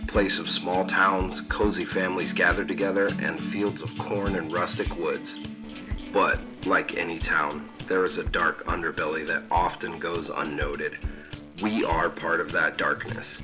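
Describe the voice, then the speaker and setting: A man reading a slow, ominous scripted intro. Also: low voice, little pitch movement. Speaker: podcast intro narrator. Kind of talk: scripted spooky intro narration. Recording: narration over loud music.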